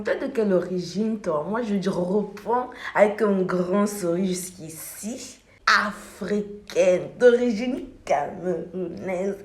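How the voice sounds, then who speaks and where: A woman's voice: mid-range voice, a little mellow, slight background noise. A young woman talking to camera in a casual vlog while doing her makeup, telling her story in an emphatic, repetitive way. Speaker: beauty vlogger. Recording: echoey room.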